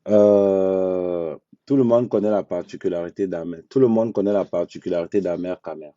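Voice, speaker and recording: A man speaking bluntly in an unscripted live monologue, repeating phrases for emphasis and talking slowly and deliberately. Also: deep voice, mellow, no echo. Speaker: social media commentator. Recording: dull lo-fi dry sound.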